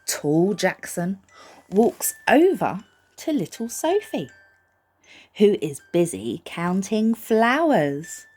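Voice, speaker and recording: Animated woman reading a children's storybook. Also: mid-range voice, slow. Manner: gentle, reassuring, questioning as if to small children. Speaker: storybook reader for young children. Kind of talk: expressive picture-book read-aloud with asides to child listeners.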